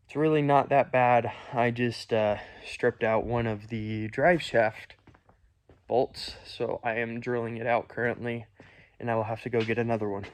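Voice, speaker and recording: A man in his home garage talks you through a car repair as he works, laid-back and unhurried. His voice sits at an ordinary middle pitch, and he explains one step at a time, dropping in casual filler words as he goes. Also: steady tone, dry recording. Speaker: car mechanic vlogger. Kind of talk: casual how-to walkthrough. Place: home garage under a car on jack stands.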